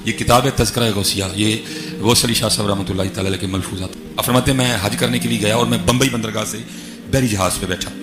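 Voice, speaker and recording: Rapid Punjabi-accented male preacher telling stories. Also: on the low side, steady tone. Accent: Pakistani Punjabi. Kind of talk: religious storytelling. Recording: echoey, music behind voice. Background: music.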